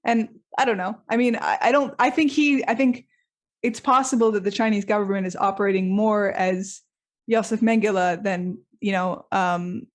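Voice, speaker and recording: A woman's voice: mid-range voice, steady tone, moderate pace. A young Australian woman hosting a podcast interview, talking hesitantly with frequent fillers and restarts. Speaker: podcast host. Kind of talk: conversational interview.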